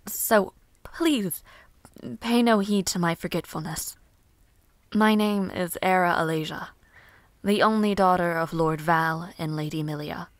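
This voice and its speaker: A woman playing a caring, easily flustered young noblewoman in a fantasy audio roleplay, soft-spoken, hesitant and politely formal. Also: lowish voice, measured pace, no echo, natural voice.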